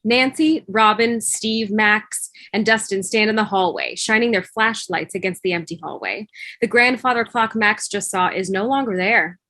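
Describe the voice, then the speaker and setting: A woman's voice: medium pitch, lively intonation, normal speed, sharp tone, dry recording. A woman reading stage directions as scripted narration for a table read over a video call.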